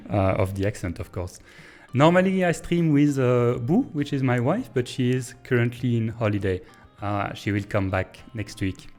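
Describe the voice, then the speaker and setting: A man with a French accent, a native French speaker, thinking aloud in a hesitant, pause-filled way as he streams and comments on a train-building game. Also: mid-range voice, animated, a little mellow, faint music behind. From Belgium. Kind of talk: casual live-stream gameplay commentary.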